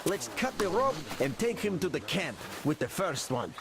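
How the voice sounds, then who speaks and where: A man's voice: high voice, very animated, normal speed, noisy background. An actor playing a cocky young male forest camp member who argues and mocks. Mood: mocking. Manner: mocking, argumentative.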